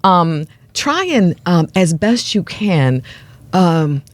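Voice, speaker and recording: A middle-aged woman giving thoughtful, measured, conversational answers as an interview guest. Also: fairly low pitch, big pitch swings, dry recording. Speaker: interview guest, stage and screen actress. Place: radio studio.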